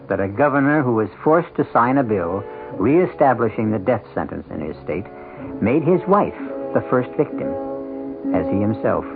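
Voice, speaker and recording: A man hosting a radio drama, giving scripted narration in a measured, sardonic, theatrical way. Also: lowish voice, creaky. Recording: dull lo-fi radio broadcast with music.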